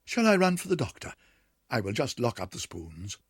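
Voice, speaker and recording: A man's voice: mid-range voice, huge pitch swings, normal speed, slightly rough, no room sound, studio quality. A man voicing a prim, proper female cat hostess who speaks with polite disapproval. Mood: severe.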